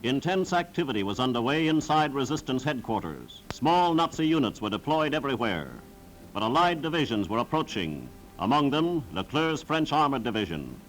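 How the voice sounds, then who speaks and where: A man's voice: mid-range voice, big pitch swings, moderate pace, poor audio. Dramatic 1940s newsreel man over music. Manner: dramatic, solemn, formal declamatory delivery. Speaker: newsreel narrator. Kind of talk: 1940s wartime newsreel scripted narration.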